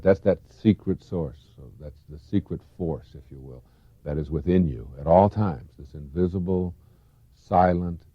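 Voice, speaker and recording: Deep-voiced man, dry muffled tape. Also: dry recording. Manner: earnest, swelling and falling in emphasis. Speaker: motivational speaker. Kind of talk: reflective self-help lecture. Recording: dull lo-fi tape, close and dry.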